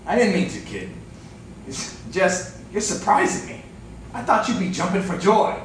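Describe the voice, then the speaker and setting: A man's voice: high-pitched, lively intonation, normal speed. A man in an amateur staged reading playing a scheming fight fixer, bossy and condescending, with a veiled menace. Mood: condescending, insistent. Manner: wheedling yet menacing, condescending. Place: echoey indoor room, staged reading. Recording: distant echoey room, faint noise.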